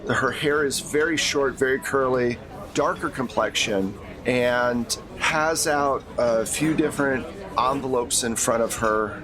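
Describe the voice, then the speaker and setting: A man's voice: mid-range voice, a little slow, a crowd in the background. A man running a tabletop game as game master, moving between casual table talk and narration.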